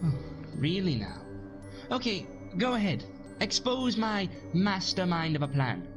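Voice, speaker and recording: Someone playing guilty time traveller mentor in audio drama, hesitant n placating, very up and down pitch, music and rain behind. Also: very animated, measured pace. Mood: guarded, wounded.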